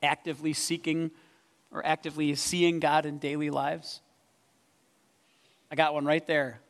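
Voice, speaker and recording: A man's voice: a little high, flat delivery, a little slow. A man, a pastor, answering questions in a church Q and A. He talks in a conversational way, thinking aloud with some restarts, into a microphone over the PA. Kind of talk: church Q and A talk. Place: church hall. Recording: long reverb of a church hall.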